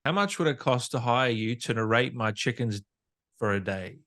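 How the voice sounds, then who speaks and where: A man with a medium-pitched voice hosts a casual interview. He speaks informally and conversationally, reacting with enthusiasm and putting questions to his guest. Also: normal speed, fairly bright tone. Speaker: interview host. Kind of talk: casual interview chat, reading audience questions.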